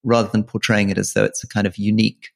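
A man who grew up in Malaysia co-hosting a casual political podcast, earnest and halting, often restarting and repeating words. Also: fairly low pitch, little pitch movement, gravelly. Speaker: podcast co-host.

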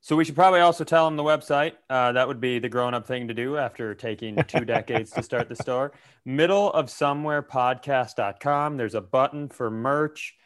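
An American man with a smooth, mid-pitched voice co-hosts a comedy podcast. He rambles along casually and slips into crude jokes. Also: normal speed. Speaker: podcast co-host.

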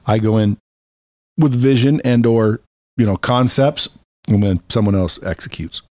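A man's voice: on the low side, unhurried, a little mellow, no room sound. A man talking casually as a podcast guest and entrepreneur, blunt and self-assured, with a salesman's way of putting things and some mild swearing.

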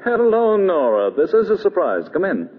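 A man's voice: high voice, huge pitch swings, moderate pace. An actor playing an earnest, agitated man caught up in trouble, in old radio drama style. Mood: puzzled. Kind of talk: 1940s radio drama acting. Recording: dull, noisy, echoing old radio broadcast.

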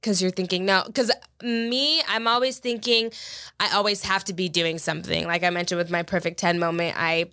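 Congested woman with a bright voice. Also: on the low side, steady tone, normal speed, no echo, studio quality. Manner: chatty, rambling with many fillers. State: congested from allergies. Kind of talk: casual chat.

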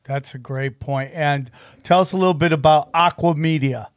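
A man hosting an interview podcast, relaxed and jokey with his guest. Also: a little high, moderate pace. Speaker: podcast host. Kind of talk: casual interview chat.

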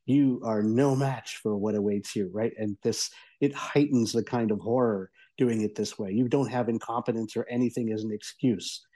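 Mellow-voiced man giving a halting review. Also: lowish voice, fairly even intonation. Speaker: guest reviewer. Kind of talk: conversational review discussion.

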